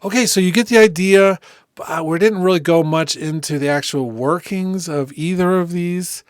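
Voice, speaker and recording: A man giving a casual software demonstration, speaking informally and thinking aloud as he goes. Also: high voice, moderate pace, smooth, dry recording, clean studio sound, soft background music. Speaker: music software tutorial host.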